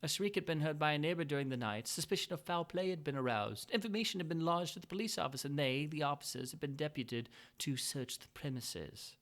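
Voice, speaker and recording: A man narrating a gothic tale as a dramatic reading, moving between hushed whispers and frantic, feverish shouting. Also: on the high side, brisk, few pauses. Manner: feverish, building intensity.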